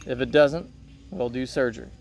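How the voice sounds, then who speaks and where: A serious, caring animal doctor, read by a man in close to his own voice. The delivery is measured and explanatory, part of a play reading with background noise. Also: mid-range voice, vocal fry, lightly acted. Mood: matter-of-fact. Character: Doctor Hayes, serious caring turtle veterinarian.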